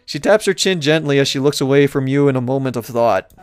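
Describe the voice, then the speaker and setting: A man reading a visual novel aloud as a let's player, casually narrating and adding halting, bemused asides. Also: mid-range voice, smooth, no echo, faint music behind. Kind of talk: casual gameplay narration and commentary.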